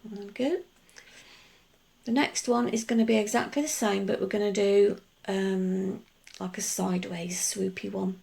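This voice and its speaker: A middle-aged woman talking through a nail art tutorial in a chatty, rambling way, her voice gruff from just waking up. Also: medium pitch, fairly even intonation, unhurried, a little mellow. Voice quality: gruff, gravelly. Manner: chatty, rambling explanations with many asides. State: just woken up. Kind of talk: casual tutorial voice-over.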